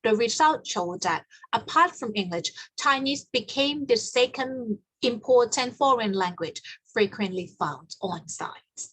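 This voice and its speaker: A woman walks listeners through her research in a measured, explanatory and slightly formal manner. Her voice sits fairly high. The sound is dry and close, with no room echo. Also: animated, a bit bright, breathy edge. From Thailand. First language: Thai. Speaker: researcher presenting her study. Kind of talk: academic conference presentation.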